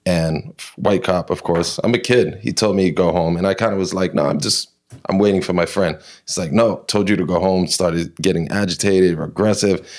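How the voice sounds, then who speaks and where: Man, guest, from New York, low-pitched, rambling conversational storytelling. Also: moderate pace. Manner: animated retelling, many fillers and restarts. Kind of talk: casual interview, personal storytelling.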